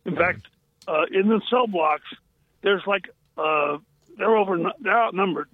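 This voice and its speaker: An older man speaks in a husky, fairly high voice, loud and halting as he reminisces. He repeats his phrases as he goes. The sound is thin and echoey, like a phone call to a radio show. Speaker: guest caller, retired prison librarian. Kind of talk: casual reminiscing in a radio interview. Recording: tinny, echoey phone line.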